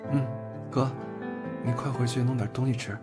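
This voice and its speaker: A man playing a subdued, self-doubting young man in an audio drama, speaking quietly, hesitantly and with restraint. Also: medium pitch, steady tone, moderate pace, close to an everyday voice. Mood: vulnerable, gentle. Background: background music.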